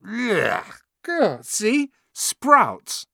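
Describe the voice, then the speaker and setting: A man voicing a blunt, chatty eleven-year-old schoolboy with a quick offhand manner. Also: mid-range voice, very animated, no echo, clean studio sound, pitched above the performer's own voice, lightly acted.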